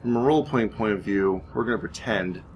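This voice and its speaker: A man's voice: lowish voice, brisk, a little hiss or hum, rough recording. A man giving casual, rambling game commentary, thinking aloud hesitantly as he plays. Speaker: gaming YouTuber narrating his playthrough.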